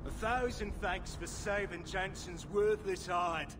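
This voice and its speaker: A man voicing a rough, joking, hard-drinking miner in a video game. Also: high voice, a little slow, background noise. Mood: mocking. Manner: coarse, joking. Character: rough, drunken, boisterous working-class male miner.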